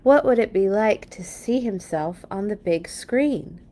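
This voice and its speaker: A woman reading a children's story aloud in an expressive, playful way for young listeners. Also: medium pitch, unhurried. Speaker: children's read-along storyteller. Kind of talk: storybook read-aloud with animated asides.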